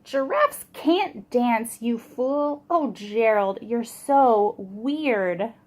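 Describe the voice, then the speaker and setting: A mocking jungle animal from a children's storybook, put on by a woman reader. The voice sits fairly high and drawls slowly. Each line is a drawn-out, sneering taunt. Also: lively intonation, a little mellow, full character voice.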